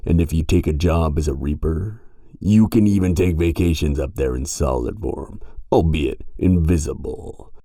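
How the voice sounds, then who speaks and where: A sardonic grim reaper, acted by a man for an audio drama, with a very low, dark and mellow voice. He talks in casual slang, brash and teasing, as if always ready to argue. The sound is close and dry. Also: moderate pace, no room sound. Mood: brash, insistent. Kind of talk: audio roleplay acting.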